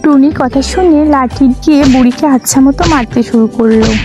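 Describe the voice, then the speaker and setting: A woman with a high voice narrates a scripted children's story. Music plays clearly behind her narration. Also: brisk.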